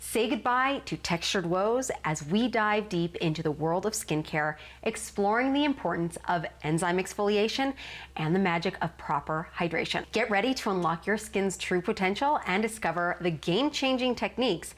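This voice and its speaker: A woman's voice: mid-range voice, expressive, normal speed. A woman vlogger explaining skin care, sounding a little nasal while getting over a cold. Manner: earnest, explanatory. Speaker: skincare vlogger. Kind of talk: casual instructional talk.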